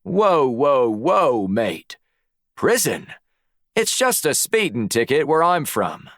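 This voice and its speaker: A man's voice: medium pitch, big pitch swings, slow, a bit bright, no room sound, studio quality, voice pushed up, heavily acted. A man voicing a brash spy posing as a breezy, matey Australian in an audiobook. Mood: protesting. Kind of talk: audiobook character dialogue.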